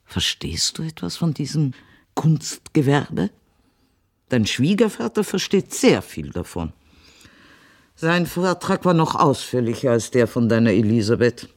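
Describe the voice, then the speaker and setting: An old matriarch with hugely varied, swooping intonation. She is haughty and dry, firing curt, probing questions in a deliberate radio-play delivery. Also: very deep voice, sing-song, a little mellow, heavily acted. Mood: stern, probing. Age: older. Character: imperious old Viennese mother, dry and sharp-tongued. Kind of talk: radio drama acting.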